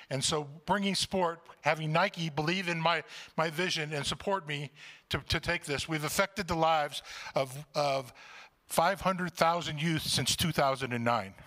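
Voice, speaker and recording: Male award recipient, higher forceful voice, halting and heartfelt public speech, handheld mic. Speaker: award recipient speaking on stage. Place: conference stage in an auditorium. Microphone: handheld microphone.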